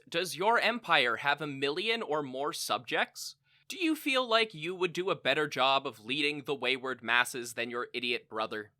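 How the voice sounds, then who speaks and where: Man doing a hammy, high-pitched infomercial pitchman. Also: sharp tone, dry recording, studio quality, full character voice. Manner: hammy, exaggerated sales pitch. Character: brash male infomercial pitchman for a parody product.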